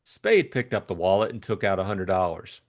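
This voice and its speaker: A man's voice: low voice, expressive, normal speed. A man reading scripted literary narration as an audiobook narrator.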